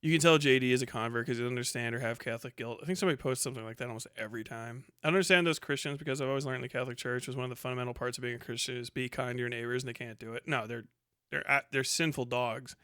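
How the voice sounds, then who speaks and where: A man's voice: medium pitch, fairly even intonation, very fast, a bit bright, clean tone, no room sound, clean studio sound. A man delivering a casual, ranting livestream monologue in a colloquial, rambling, sweary way. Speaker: livestream host.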